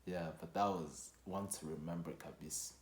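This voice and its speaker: A young man from Rwanda telling a personal story casually, in an animated, slangy and profane way. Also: sits low, normal speed. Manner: animated, slangy, profane, with acted-out quotes and sound effects. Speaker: storytelling vlogger. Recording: echoey, roomy sound.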